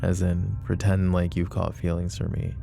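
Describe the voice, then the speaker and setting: A man playing a protective, possessive mafia boss in an intimate roleplay ASMR, speaking deliberately and broodingly straight to the listener. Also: low voice, monotone, gravelly, music in the background. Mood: guarded, questioning.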